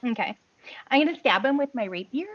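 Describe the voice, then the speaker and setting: A woman plays a tabletop game online, hesitating as she counts her moves and picks her attack. Her voice sits fairly high. The sound is dry and slightly dull. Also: expressive, brisk, no room sound. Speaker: player. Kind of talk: casual tabletop play.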